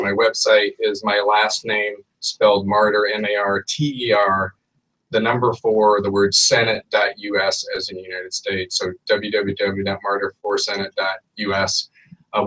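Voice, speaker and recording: A man appearing as a political candidate on a radio show, hesitant and repeating words as he makes his pitch. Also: fairly bright tone, distant mic, poor audio. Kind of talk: campaign pitch in radio interview.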